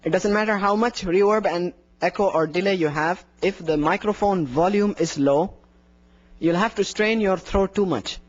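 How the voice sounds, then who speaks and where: A man's voice: high voice, normal speed, lo-fi sound. A young man teaching a workshop on giving the call to prayer, explaining and demonstrating with his own voice into a handheld microphone indoors. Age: young adult. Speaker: adhan instructor. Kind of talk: workshop lecture. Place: indoor room in front of a plain wall. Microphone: handheld microphone plus lapel mic.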